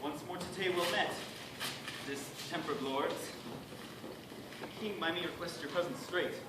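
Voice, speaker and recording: Blunt, commanding knight acted by a man, declamatory, somewhat high-pitched, noisy amateur stage recording. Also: measured pace, natural voice. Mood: curt. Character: blunt, commanding knight, defiant peacekeeper among angry lords. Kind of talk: amateur Shakespearean stage acting. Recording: echoey, noisy hall, distant microphone.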